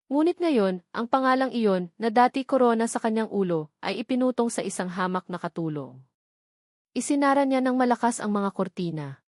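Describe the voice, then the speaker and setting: A woman's voice: fairly high pitch, expressive, moderate pace, darkish tone, no echo, studio quality. A woman with a Filipino accent giving a dramatic scripted narration of a fictional story.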